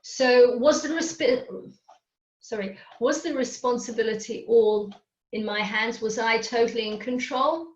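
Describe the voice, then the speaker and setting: A woman's voice: fairly high pitch, measured pace, reverberant, highs cut off. A woman whose first language is Hungarian, presenting a live webinar on coaching and checking in often with her listeners. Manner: explanatory, often checks in with "okay?".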